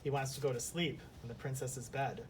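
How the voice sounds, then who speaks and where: A male teacher in a storybook read-aloud and lesson. He is recorded a little away from the microphone, with a faint rumble behind him. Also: fairly even intonation, a little fast. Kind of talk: storybook narration and lesson discussion. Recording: slightly distant mic, faint rumbling noise.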